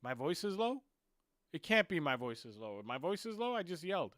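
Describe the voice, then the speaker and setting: Male streamer, slightly high voice, dry close mic, fired-up fan commentary. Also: brisk, no echo. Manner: frustrated, excitable rants about players; muttering while fixing stream clock. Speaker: football watchalong streamer. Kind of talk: live stream match commentary.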